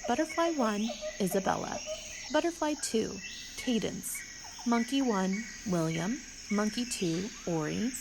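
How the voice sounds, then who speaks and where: A woman reading out a scripted list as an announcer, measured, pausing between items. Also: medium pitch, expressive. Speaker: announcer reading cast credits. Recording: clearly noisy, insect-filled ambient background.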